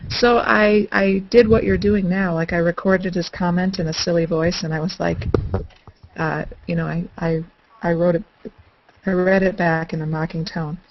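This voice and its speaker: A woman's voice: lowish voice, steady tone, moderate pace. A woman chatting casually as a participant in an online group call, in a conversational anecdotal style. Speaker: hangout participant. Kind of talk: casual chat, telling anecdotes. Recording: muffled, noisy video call with clicks.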